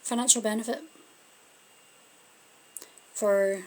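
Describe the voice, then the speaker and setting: A woman's voice: medium pitch, steady tone, slow, mellow, a little hiss or hum, low-quality recording. A Scottish woman doing a casual live-stream tarot reading, thinking aloud and trailing off as she turns the cards. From Scotland. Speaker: tarot card reader. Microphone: phone or webcam on a live stream.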